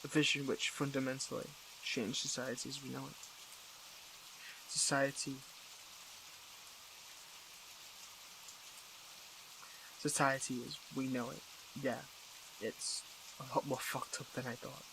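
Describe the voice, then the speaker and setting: A man acting as a tender, conflicted hero in love with a villain, in an intimate audio drama. The voice is creaky and mid-pitched with an even melody, halting and full of earnest feeling. Faint rain sits behind it. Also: background noise, natural voice. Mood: tender, troubled. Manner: halting, earnest, emotional delivery. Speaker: voice actor in an audio roleplay.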